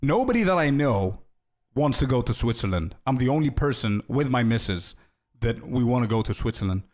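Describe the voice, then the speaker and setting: A young male game streamer talking casually to his chat. He is loose and chatty, drifts into side stories, and calls viewers "bro". His voice sits at an ordinary middle pitch. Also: very animated, fairly quick, a little mellow.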